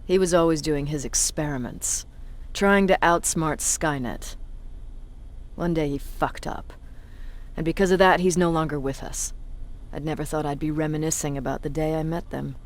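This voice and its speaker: A woman's voice: low-pitched, normal speed, natural voice. A woman playing a battle-hardened resistance commander in a video game, with a blunt, authoritative manner. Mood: wry, reminiscing.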